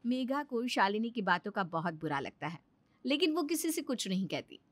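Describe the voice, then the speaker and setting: A woman's voice: medium pitch, very animated, normal speed. A woman reading scripted narration for a moral story.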